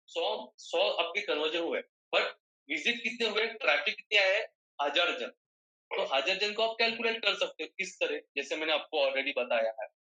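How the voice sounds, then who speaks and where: An Indian man's voice, very bright and forceful, a little high for a man, in a roomy, echoing space. He teaches like an online trainer, repeating key phrases. He keeps turning to his listeners to check that they understand. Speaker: online digital marketing trainer. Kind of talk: live online lesson, mixing Hindi and English.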